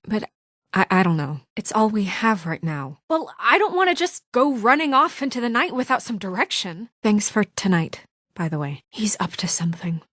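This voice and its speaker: A woman's voice: medium pitch, dry recording, studio quality, natural voice. A young actress playing an earnest, determined young woman leader under strain in a naturalistic video game drama. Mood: hesitant, uncertain. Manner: earnest, hesitant in confidences, firm when challenged.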